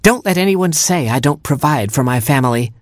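A man's voice: on the high side, expressive, a little fast, no room sound, studio quality, pitched above the performer's own voice, natural voice. A man voicing a bossy, sarcastic female skunk stepmother in an animal storybook. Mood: bossy, threatening. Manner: sarcastic, domineering.

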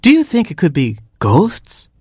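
A storyteller voicing a bossy, quick-tempered mother hen who clucks and scolds. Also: fairly low pitch, huge pitch swings, mellow, husky, no echo, studio quality, pitched above the performer's own voice, heavily acted. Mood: scolding.

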